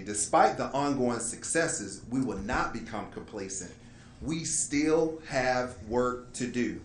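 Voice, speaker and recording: A man presents a prepared report in a formal, careful reading manner. His voice has a medium pitch and rings in a roomy meeting space, with faint noise underneath. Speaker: school district administrator presenting a report. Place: indoor meeting room, public board meeting. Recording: roomy echo, light background noise.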